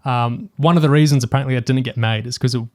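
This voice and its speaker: A man's voice: medium pitch, steady tone, fast, darkish tone, dry recording, clean studio sound. A man co-hosting a podcast, talking casually and analytically through a review, hesitantly thinking aloud as he goes. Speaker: podcast co-host.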